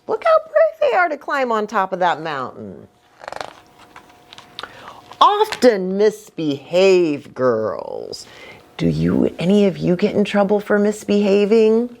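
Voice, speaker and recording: Animated woman hosting children's storytime. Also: on the low side, slow, gravelly, slight background noise. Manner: animated, addressing young children with questions. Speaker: children's ministry host and pastor. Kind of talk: children's storytime, read-aloud with asides.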